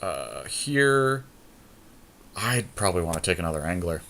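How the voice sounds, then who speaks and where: A man's voice: low voice, big pitch swings, a little slow, vocal fry, a bit bright, slight background noise. A man co-hosting a casual podcast, talking through a card game draft in a blunt, opinionated way. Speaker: podcast co-host.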